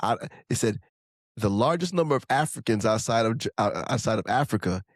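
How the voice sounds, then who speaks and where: A man's voice: lowish voice, animated, brisk, no echo, clean studio sound. A man co-hosting a podcast in casual chat, laughing as he talks and slipping into voices to act out what people say. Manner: laughs while talking, acts out quoted voices. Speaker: podcast co-host.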